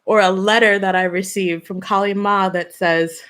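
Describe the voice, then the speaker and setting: A woman hosting a live stream as a spiritual channeler. She talks in an earnest way, asks questions, gives gentle advice and answers viewers by name. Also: medium pitch, fairly even intonation, moderate pace, clean tone.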